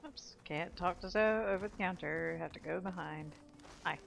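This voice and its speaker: A woman's voice: lowish voice, moderate pace, creaky. A woman giving casual gameplay commentary, informal and chatty, thinking aloud as she plays. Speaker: game streamer.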